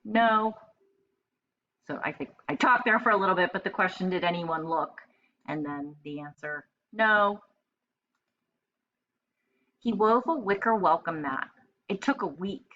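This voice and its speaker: A woman reading aloud as a teacher, narrating a storybook and pausing to explain in simple terms. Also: mid-range voice, lively intonation, bright, forceful tone, muffled recording.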